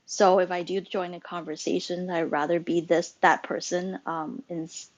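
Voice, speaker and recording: A woman hosting a casual live audio chat, talking in a halting, rambling way as she thinks aloud. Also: on the low side, level tone. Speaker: host and moderator of a live social audio room. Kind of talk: casual unscripted group chat. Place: live social audio app call. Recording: dull lo-fi online audio-chat connection.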